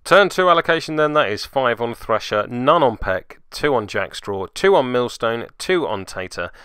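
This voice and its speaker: Bright, clear-voiced man giving game commentary. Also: medium pitch, lively intonation, normal speed, no echo, studio quality. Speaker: tabletop game player and commentator. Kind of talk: voice-over play-by-play commentary of a miniatures game.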